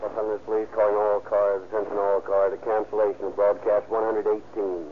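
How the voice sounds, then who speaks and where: An impassive police dispatcher , acted by a man in a vintage radio show. He is clipped and monotone in a lowish voice, through a tinny, noisy radio line. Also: fairly quick, lightly acted. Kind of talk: 1930s police radio bulletin.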